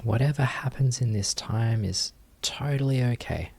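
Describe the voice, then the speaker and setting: A man leading a guided meditation as a teacher, speaking in a gentle, unhurried manner with long pauses. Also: mid-range voice, vocal fry, slight background noise.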